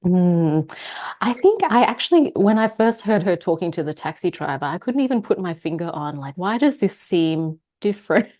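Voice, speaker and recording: A woman's voice: lowish voice, big pitch swings, normal speed, dark tone. A young woman guest on a podcast, talking casually with frequent laughs and hesitant fillers. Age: young adult. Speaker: guest psychologist. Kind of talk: casual interview chat.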